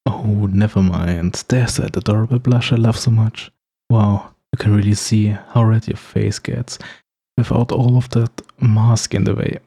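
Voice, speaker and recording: Husky, mellow, snarky male villain, acted by a man. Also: on the low side, exaggerated voice. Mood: flirty, teasing. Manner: sarcastic, playful, bantering, with chuckles. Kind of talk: ASMR roleplay monologue to the listener.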